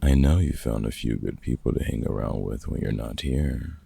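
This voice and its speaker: A man playing a gruff but kind-hearted veteran vampire hunter in a comfort audio roleplay, talking casually and swearing freely in a gravelly, husky voice. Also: a bass voice, warm tone, full character voice. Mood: warm, wry. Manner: gruff, sweary, casual mentor talk with pauses.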